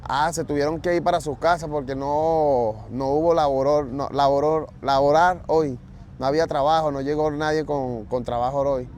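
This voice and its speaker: A man from Venezuela with a Venezuelan Spanish accent, answering street interview questions in a relaxed, matter-of-fact way outdoors. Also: on the high side, normal speed. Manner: relaxed, matter-of-fact, speaks of God's will. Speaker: interviewee, job seeker. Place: outdoors at a store parking lot. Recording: outdoor street noise, traffic.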